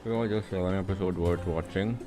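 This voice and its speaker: Very deep-voiced young Indian streamer man. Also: steady tone, fairly quick. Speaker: game streamer. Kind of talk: casual livestream chat. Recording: game music behind the voice. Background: video game music.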